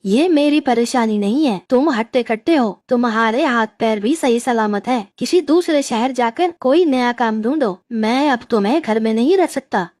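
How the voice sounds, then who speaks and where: Jealous, bullying crow brother for a cartoon fable, played by a woman: high-pitched, scolding, close dry recording. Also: expressive, moderate pace, few pauses, a little mellow, no echo, natural voice. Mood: cold, scolding. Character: mean, jealous male crow, elder brother. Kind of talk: Hindi moral-story cartoon voice acting.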